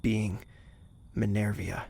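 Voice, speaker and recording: A man plays a young royal heir in an audio drama. His voice has a gravelly creak and a soft, hesitant delivery. He comes across as pensive, gentle and unsure of himself. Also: fairly low pitch, flat delivery, slow, exaggerated voice. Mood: quiet, curious.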